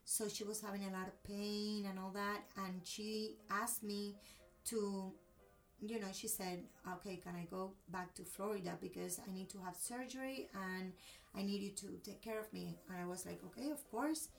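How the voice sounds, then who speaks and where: A woman's voice: medium pitch, steady tone, moderate pace, creaky, recorded from a distance, poor audio. A woman from Cuba with a Cuban accent and Spanish as her first language, telling a personal story expressively in a conversational interview.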